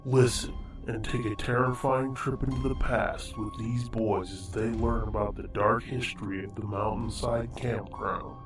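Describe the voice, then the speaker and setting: A man reading scripted trailer narration in an ominous, measured way. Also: medium pitch, steady tone, distant mic. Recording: roomy narration set back from the mic over music.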